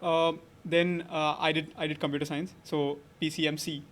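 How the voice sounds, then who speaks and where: A man's voice: fairly high pitch, level tone, moderate pace, fairly bright tone, slight background noise. A young man in his twenties from Saudi Arabia, a podcast guest chatting casually and stringing his thoughts together with many fillers. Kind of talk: casual interview conversation.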